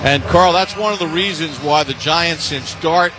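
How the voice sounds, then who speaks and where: A man's voice: fairly high pitch, normal speed, music in the background. An American man calling live football on the radio as play-by-play announcer, in clipped, punchy phrases. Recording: loud stadium ambience behind radio broadcast. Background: stadium ambience.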